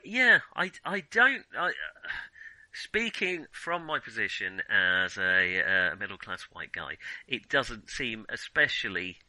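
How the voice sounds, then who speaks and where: A middle-aged British man talks casually as a podcast co-host. His voice is very bright and crisp-edged, recorded dry with no room sound. He feels his way through his thoughts in a hesitant, searching manner. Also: lowish voice, no echo, rough recording. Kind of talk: casual film discussion.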